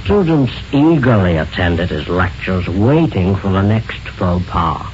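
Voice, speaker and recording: A man's voice: low-pitched, darkish tone. A man presenting a scripted short feature in old radio style. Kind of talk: scripted narration, 1940s-50s radio. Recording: muffled, hissy old radio recording.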